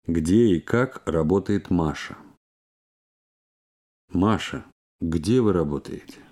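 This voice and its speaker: A man with a low, dark voice reads practice phrases for a language course. He speaks slowly and articulates every word carefully, in a deliberate and steady manner. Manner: slow, careful, clearly enunciated. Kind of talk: scripted language drill reading.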